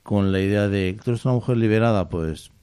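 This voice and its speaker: A man with a deep, smooth, resonant voice hosts a relaxed literature program, explaining poems and reading them out in a steady manner. His tone is mellow and the recording is close and dry. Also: a little fast, no echo. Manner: steady, explanatory, tags lines with "¿no?". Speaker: radio host. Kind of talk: casual radio chat about literature.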